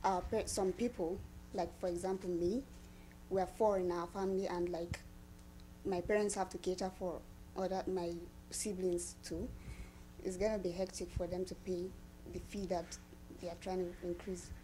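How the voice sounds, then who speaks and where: A woman's voice: lowish voice, flat delivery, moderate pace, mellow, slight background noise, poor audio. A woman, an international student, answering interview questions casually with "like" and "I mean".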